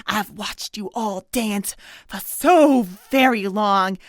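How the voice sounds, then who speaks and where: A woman playing a scheming, menacing pony villain in an audio drama, taunting in a theatrical, drawn-out way. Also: medium pitch, dry recording, studio quality, close to an everyday voice. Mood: cold menace.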